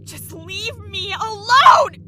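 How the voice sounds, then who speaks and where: Casting: desperate young female fugitive played by a woman, extremely high, drawn-out anguished shouts, sci-fi audio drama. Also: lively intonation, slight background noise, full character voice. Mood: anguished, defiant. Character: desperate, defiant young female runaway test subject.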